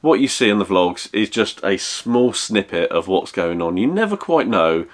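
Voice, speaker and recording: Deep-voiced man vlogger. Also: sing-song. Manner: measured, rambling, lots of fillers and self-corrections. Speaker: travel vlogger. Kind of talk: casual chat.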